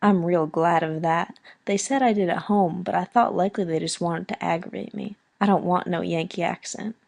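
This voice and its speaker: A woman's voice: lowish voice, fairly even intonation, normal speed, creaky, a little mellow, no echo, pitched below the performer's own voice. A woman voicing an anxious, freckled young country girl with folksy grammar and a Prince Edward Island accent. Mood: earnest.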